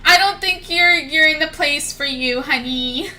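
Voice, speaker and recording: A woman's voice: high-pitched, unhurried, sharp tone. A young woman livestreaming a game, chatting casually with her viewers in a sweary, giggly, teasing way. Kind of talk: casual gameplay stream chat. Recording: streamer voice with faint music behind.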